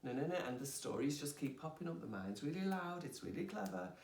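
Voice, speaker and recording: A woman speaks with a gravelly, husky edge, her pitch swinging widely as she opens up about herself. She talks frankly and laughs at her own expense. The sound is roomy, as if picked up from a few steps away. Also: a little fast, slight background noise. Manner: expressive, self-reflective, laughs at herself. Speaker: trauma healing student and yoga teacher. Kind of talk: candid personal conversation. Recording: roomy sound from a distant mic.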